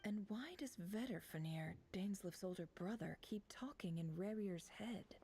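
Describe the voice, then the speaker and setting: A woman playing a shrewd, composed investigator in a video game, explaining in a measured, knowing, analytical way. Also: medium pitch, warm tone, slight background noise, close to an everyday voice. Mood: pensive, intrigued. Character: shrewd, composed female investigator who reads minds. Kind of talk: video game voice acting, expository narration.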